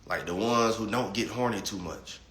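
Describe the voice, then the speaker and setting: A man's voice: lowish voice, normal speed, a bit bright, echoey room. A man in his late twenties talking bluntly and profanely on a casual livestream. He reads chat comments and often repeats words as he starts a thought. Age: 20s. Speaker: livestream host reading chat.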